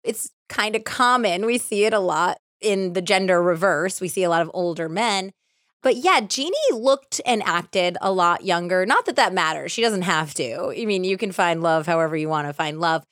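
A woman's voice: lowish voice, normal speed, fairly bright tone, dry recording, studio quality. A woman co-hosting a podcast, mixing casual chat with true-crime storytelling in a playful, teasing way. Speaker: podcast co-host.

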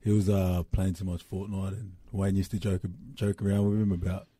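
Aussie bloke on a podcast, low husky voice, dry close sound, short chill answers. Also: male voice, level tone, moderate pace, mellow, no echo. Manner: laid-back, short hesitant answers. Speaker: guest, rugby league player. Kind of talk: casual podcast chat.

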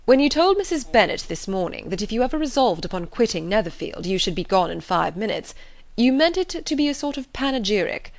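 A woman voicing a proud, reserved young gentleman who speaks in measured, lecturing tones. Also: medium pitch, dry recording.

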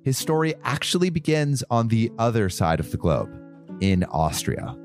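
A man hosting a podcast, narrating in a calm, measured way and interviewing a guest out on a boat. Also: mid-range voice, over loud music. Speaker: podcast host. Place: on a boat in a bay.

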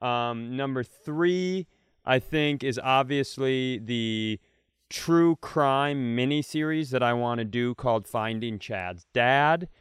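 A man's voice: mid-range voice, takes it slowly, clean tone, no echo, studio quality. A man co-hosting a comedy podcast, riffing and telling stories in a casual, playful way. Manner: riffing, storytelling, playful bits. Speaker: podcast co-host.

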